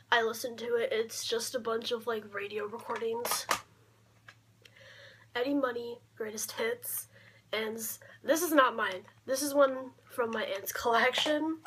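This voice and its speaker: A young woman talking casually in a low-key show-and-tell vlog, often trailing off, recorded on a camera mic in a bedroom. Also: fairly bright tone. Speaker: vlogger showing a collection. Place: small cluttered bedroom, indoors. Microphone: camera mic at arm's length. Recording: clear background noise, handling clicks.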